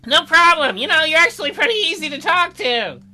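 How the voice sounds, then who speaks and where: A man voicing a lonely, socially awkward male cave bear in a visual novel, halting and self-pitying. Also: very high-pitched, lively intonation, pushed and bright, soft background music, voice pushed up, exaggerated voice. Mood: eager.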